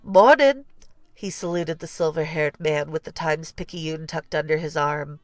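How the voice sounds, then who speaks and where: A woman narrating a children's storybook in an expressive, deliberate read-aloud style. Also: sits low, no echo, faint music behind. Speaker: storybook reader.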